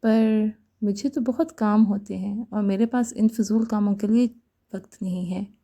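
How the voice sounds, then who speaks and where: A woman's voice: mid-range voice, fairly even intonation, unhurried, warm tone. A woman voicing a hesitant, guarded young woman in a romantic story, answering curtly. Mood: wary, curt.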